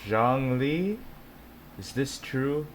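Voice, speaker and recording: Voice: medium pitch, lively intonation, drawn-out words, slight background noise. A streamer giving casual commentary while reacting to a video game.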